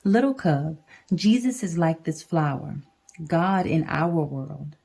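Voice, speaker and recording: Mama bear for a picture book, gentle teacher, lowish mellow voice woman performing. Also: takes it slowly, vocal fry, breathy edge, heavily acted. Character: gentle mother bear teaching her cub.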